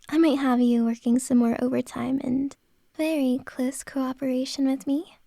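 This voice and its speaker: Woman as a shy, whispering female boss for ASMR, high and mellow, slow hesitant delivery. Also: fairly even intonation, vocal fry, no echo, studio quality, heavily acted. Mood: tender, flustered. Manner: soft whispering, hesitant and halting. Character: flustered woman boss confessing to an employee. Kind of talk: ASMR roleplay.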